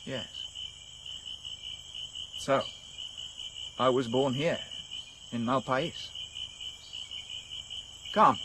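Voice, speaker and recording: A man's voice: medium pitch, background noise. An actor playing an earnest young man raised in a remote village, speaking solemnly in a radio drama. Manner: solemn, earnest, halting delivery. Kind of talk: BBC radio drama acting. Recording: insect and cricket ambience.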